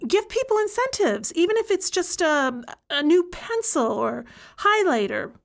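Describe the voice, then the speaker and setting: A woman's voice: very high voice, very animated, a little slow, full character voice. An actress playing an incarcerated woman who tutors other prisoners, speaking frankly and earnestly in an audio play monologue. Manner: earnest, frank, building to a plea for change.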